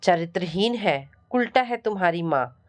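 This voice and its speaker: A woman's voice: on the low side, expressive, moderate pace, close to an everyday voice. A woman storyteller voicing an arrogant, suspicious husband who speaks with accusing contempt. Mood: scornful.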